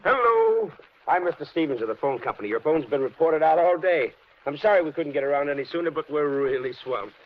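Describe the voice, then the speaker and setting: An actor playing a brisk, obliging repairman in a 1940s American radio drama, breezy and businesslike. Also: fairly high pitch, animated, close to an everyday voice. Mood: brisk, helpful. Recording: muffled, noisy old radio recording.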